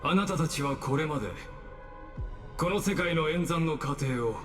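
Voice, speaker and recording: A man playing a calm, coldly courteous mastermind in a game, speaking formally and with measured condescension. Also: on the high side, big-room sound, loud music behind the voice, close to an everyday voice. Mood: condescending. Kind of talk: Japanese anime-style game voice acting.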